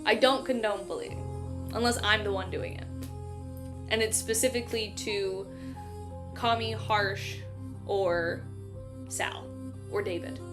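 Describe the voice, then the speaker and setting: A woman's voice: mid-range voice, takes it slowly, long pauses, music in the background. Chatty woman reading a novel aloud. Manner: chatty, laughs often, many "like" fillers; still stutters on some words. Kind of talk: casual chat between passages of a novel read aloud.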